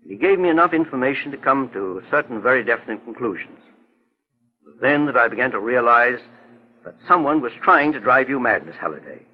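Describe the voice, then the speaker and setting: A man playing a kindly, assured older gentleman detective in a 1940s radio drama. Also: medium pitch, expressive, a little fast, slightly rough. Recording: dull lo-fi 1940s radio recording.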